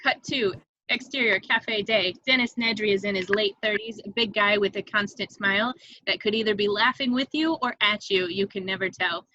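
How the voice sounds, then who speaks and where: A woman's voice: mid-range voice, normal speed, sharp tone, highs cut off, slight background noise. A woman reading stage directions as scripted narration for a table read.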